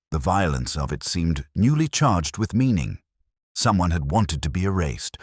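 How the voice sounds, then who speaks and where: A man reading a scripted first-person detective story in a measured, solemn, literary manner. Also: rumbling low, no room sound, clean studio sound. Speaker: narrator.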